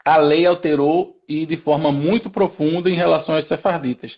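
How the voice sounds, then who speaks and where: A man's voice: fairly high pitch, fairly even intonation, moderate pace, lo-fi sound. A man with a Pernambuco accent from Recife, a lawyer guest on a live stream, explaining procedures methodically step by step with frequent restarts. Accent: Pernambuco Brazilian Portuguese. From Recife, Brazil.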